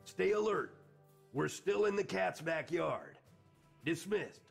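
Space-game squadron commander played by a man: gruff, blunt, heavily gravelled and husky, slightly high-pitched. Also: lively intonation, slow pace, soft background music, exaggerated voice. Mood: grim resolve. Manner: blunt, emphatic.

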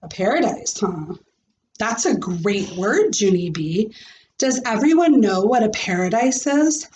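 A woman voicing a calm, kindly schoolteacher addressing a class in a storybook, measured and encouraging. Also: medium pitch, dull audio, slight background noise, natural voice. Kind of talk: acted children's storybook reading.

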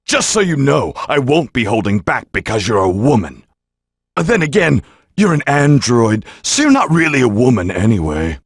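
An actor playing a proud, arrogant alien warrior prince in an anime video game, with a gravelly, taunting delivery. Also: male voice, medium pitch, big pitch swings, normal speed, no echo, studio quality. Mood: arrogant, defiant. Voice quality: gravelly, raspy. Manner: haughty, taunting.

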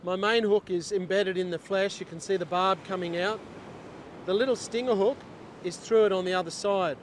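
A middle-aged man with a fairly high voice talks through his beach fishing in a steady, step-by-step way. He is on a lapel mic on an open beach, with wind and surf clearly heard underneath. He sounds like a fishing instructor working with his hands as he explains. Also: normal speed.

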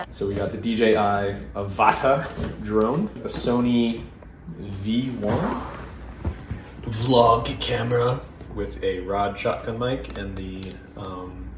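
A man's voice: lowish voice, noisy background. Jokey man in an echoey room. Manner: jokey, self-mocking, laughs often. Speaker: vlog host. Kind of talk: casual gear overview. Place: wood-paneled living room indoors. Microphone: clip-on lapel mic.

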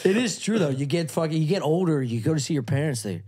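A man's voice: fairly high pitch, animated, fast talker, darkish tone, breathy edge. A man in his thirties co-hosting a comedy podcast, joking crudely and laughing often. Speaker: podcast co-host. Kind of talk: casual chat. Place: living-room podcast studio, on a couch. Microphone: boom-arm studio mic.

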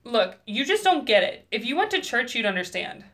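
A woman's voice: a little high, big pitch swings, brisk, a bit bright. A woman playing a bitter, lonely teenage girl in an audio drama, emotional and defensive.

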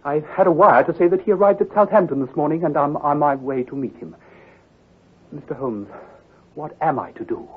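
An actor playing an earnest, troubled country doctor who speaks gravely and precisely, with some hesitation, in old-time radio drama style. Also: male voice, a little high, a little fast, a little hiss or hum, close to an everyday voice. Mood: worried. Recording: muffled, husky old radio recording.

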